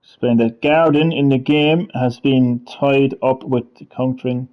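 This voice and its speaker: A man hosting a casual gaming stream, rambling, laughing often and reading web text aloud haltingly. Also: mid-range voice, fairly even intonation, phone-quality sound. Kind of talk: casual gameplay chat.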